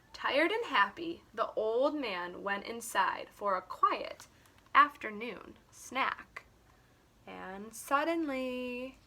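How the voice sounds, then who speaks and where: A woman reading a story aloud to young children, expressive, with simple explanatory asides. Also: sits high. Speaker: children's teacher and story reader.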